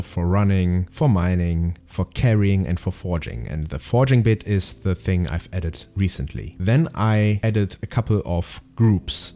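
Calm low-voiced man narrating a devlog. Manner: calm, explanatory, methodical. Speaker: indie game developer.

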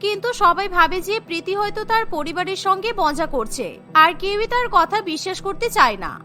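Casting: female cartoon story narrator, very high and bright, scripted read. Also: animated, brisk, faint music behind.

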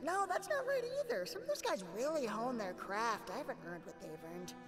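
A woman's voice: sits high, animated, fairly quick. A woman voicing an anxious, self-loathing teenage boy in a comedic anime parody, rambling frantically. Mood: self-deprecating. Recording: music behind the voice.

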